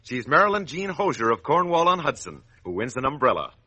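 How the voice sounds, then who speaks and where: A man's voice: mid-range voice, very animated, fairly quick, bright, forceful tone, slightly rough, no room sound. An American man announcing a 1940s children's radio show in a hearty, salesmanlike manner, on an old radio recording.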